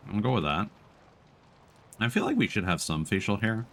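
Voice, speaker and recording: A man chatting casually as he live-streams a game, musing aloud in an unhurried way. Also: fairly low pitch, animated, vocal fry, fairly bright tone, slight background noise.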